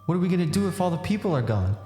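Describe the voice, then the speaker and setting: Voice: medium pitch, very animated, very fast, warm tone, faint music behind, lightly acted. A performer voicing a brash, dim but big-hearted young male oni gang boss in a comedic puppet show, with slangy, boastful bro talk. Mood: worried.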